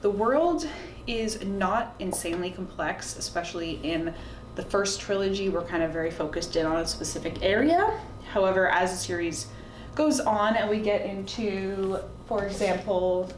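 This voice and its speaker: Chatty woman in an echoey room. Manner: informal, opinionated, chatty. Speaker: book reviewer, video host. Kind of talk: casual talk to camera recommending books. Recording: roomy echo, faint background noise and rumble.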